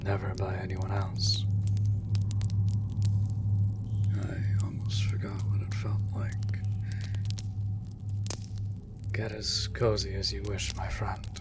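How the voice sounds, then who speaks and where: A man playing a gentle, world-weary monster hunter in an intimate comfort roleplay, speaking in breathy half-whispers with halting delivery. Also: deep voice, completely flat delivery, loud music behind the voice. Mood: shy, warm. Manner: slow, halting, hushed delivery. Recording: loud rain and cave ambience. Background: rain in a cave.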